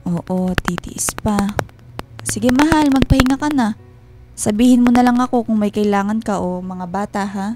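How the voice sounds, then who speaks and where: An actress playing an affectionate, homesick and quietly suspicious wife on a call in a radio drama, with a Filipino accent. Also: female voice, medium pitch, normal speed, noisy background, close to an everyday voice. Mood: homesick, loving. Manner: loving, probing, wistful. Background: music.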